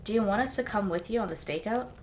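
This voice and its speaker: A woman's voice: medium pitch, fast talker, darkish tone, a little hiss or hum, lightly acted. An actress playing a blunt, teasing young woman adventurer in an audio drama. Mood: questioning.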